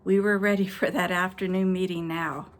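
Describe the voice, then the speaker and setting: A woman pastor telling children a story and a short devotional outdoors at night, speaking gently and directly to the kids. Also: lowish voice, fairly even intonation, normal speed, slight background noise.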